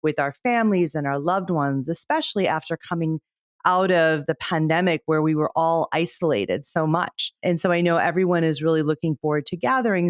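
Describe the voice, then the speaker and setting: A woman's voice: on the low side, dry recording, clean studio sound. A woman hosting a podcast interview, effusive and enthusiastic toward her guest. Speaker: podcast host, doctor.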